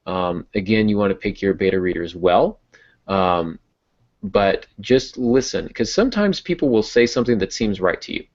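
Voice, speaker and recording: A man's voice: on the low side, lively intonation, moderate pace. A man hosting a podcast, giving conversational advice about writing. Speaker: podcast host and writer. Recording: dull lo-fi audio.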